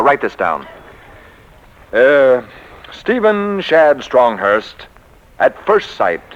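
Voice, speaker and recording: An actor playing an excitable, bombastic American publishing executive in a 1940s radio comedy, with theatrical, grandiose emphasis. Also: male voice, mid-range voice. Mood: grand, dictating. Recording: muffled 1940s radio recording.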